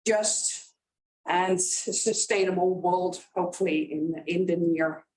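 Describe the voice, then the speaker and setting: Dutch woman, middle-aged, guest lecturer; measured, halting delivery with frequent fillers. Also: lowish voice, fairly even intonation, darkish tone, rough recording. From Netherlands. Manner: unhurried with many ums and uhs. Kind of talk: university lecture.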